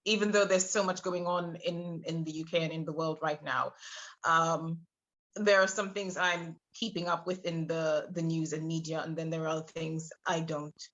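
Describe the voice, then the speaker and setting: A woman in her thirties from Trinidad, a podcast guest telling her personal story with many hesitant pauses. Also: low voice, fairly even intonation, a bit bright. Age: 30s. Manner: hesitant, many filler pauses, laughs between thoughts. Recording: muffled lo-fi audio.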